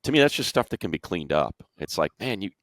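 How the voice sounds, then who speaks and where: Male sports panelist, very deep register, dry close sound, informal emphatic analysis. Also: sing-song, quick pace, fairly bright tone, dry recording. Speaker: sports show panelist and play-by-play announcer.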